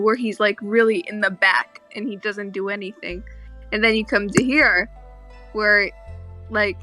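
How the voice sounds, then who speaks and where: A woman's voice: mid-range voice, bright, forceful tone, rough recording. A woman co-hosting a podcast, talking in a casual fan discussion. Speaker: podcast co-host.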